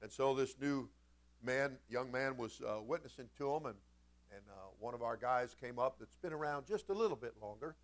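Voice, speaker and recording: A man preaching a sermon as a pastor, folksy and informal, moving between conversational storytelling and emphatic, animated delivery. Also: medium pitch, normal speed. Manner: animated, swinging from quiet conversational asides to loud emphatic shouts. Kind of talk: Pentecostal-style sermon with storytelling.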